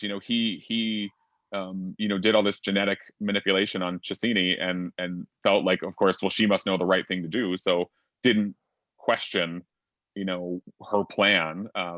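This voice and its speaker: A deep-voiced man steering a relaxed fan discussion over a video call. He rambles thoughtfully, full of ums and restarts. The sound is dull and bone-dry. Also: dry recording. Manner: chatty, many fillers, thinks aloud at length. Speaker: panel moderator. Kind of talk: casual fan panel discussion.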